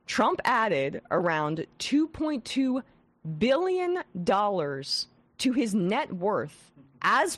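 Woman host with a head cold and vocal fry. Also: on the low side, dry recording. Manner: animated, scathing, with frequent restarts. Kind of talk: political talk show commentary.

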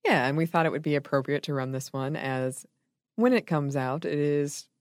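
A woman's voice: deep voice, normal speed, no echo. A woman co-hosting a podcast in casual chat, with playful dry humor. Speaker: podcast co-host.

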